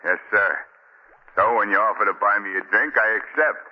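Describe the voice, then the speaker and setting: A man's voice: on the low side, moderate pace, lightly acted. An actor playing a naive, rambling down-and-out man with folksy, ungrammatical speech in 1940s radio style. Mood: chatty, curious. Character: chatty, naive down-and-out man, turning frantic. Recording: dull, noisy 1940 radio recording.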